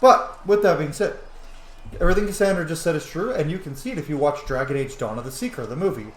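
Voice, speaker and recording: A man's voice: a little high, animated, fast. A man giving casual, conversational commentary on a game playthrough, blunt and reactive. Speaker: gamer, roleplay host. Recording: echoey room, some noise.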